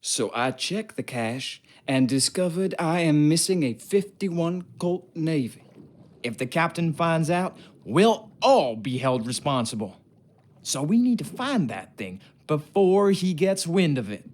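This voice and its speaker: An actor playing a gruff, sardonic ship's craftsman in a video game, taunting and bossy in a colloquial, condescending way. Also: on the high side, big pitch swings, unhurried, darkish tone. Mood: grave, secretive. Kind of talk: video game character acting.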